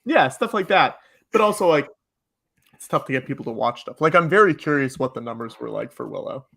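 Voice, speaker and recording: A man's voice: mid-range voice, animated, fairly quick, creaky, a bit bright. A man chatting casually about TV and film, hedging and often repeating his phrases. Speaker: podcast speaker.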